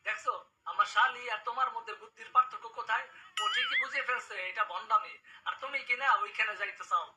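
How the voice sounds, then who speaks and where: A man's voice: very high-pitched, fast, recorded from a distance, loud music behind the voice, poor audio. Man playing a blunt, scoffing cartoon dad. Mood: mocking. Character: skeptical, dismissive father and husband.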